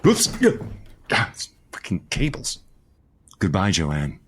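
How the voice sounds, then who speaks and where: Actor as a low, slyly needling male rival. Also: big pitch swings, takes it slowly, a bit bright, a little hiss or hum, heavily acted. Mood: breezy farewell. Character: Avery Vestibule, slyly needling male rival, falsely contrite. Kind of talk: audio comedy drama dialogue.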